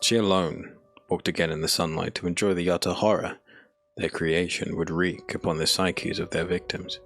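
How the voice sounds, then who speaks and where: A man narrating a scripted dark fantasy audiobook in a grave, dramatic storytelling manner. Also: low voice, flat delivery, normal speed, no room sound, soft background music.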